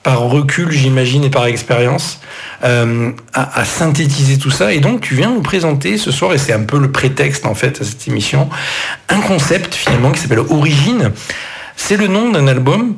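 A man's voice: mid-range voice, expressive, moderate pace, gravelly. A middle-aged man hosting a radio interview, speaking in long, wordy sentences with frequent fillers. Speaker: radio host.

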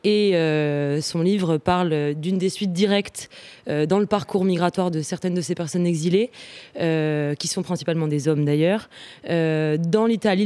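A woman moderating a public talk, hesitant with many fillers. Her smooth voice sits lowish and sounds dry and close. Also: dark tone, no room sound. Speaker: event moderator.

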